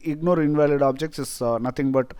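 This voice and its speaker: Indian man walking through a software demo. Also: a little high, fairly even intonation, brisk, clean tone, no echo. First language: Tamil. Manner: explanatory, frequent "uh" fillers. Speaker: software tutorial presenter. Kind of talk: screen-recorded software tutorial.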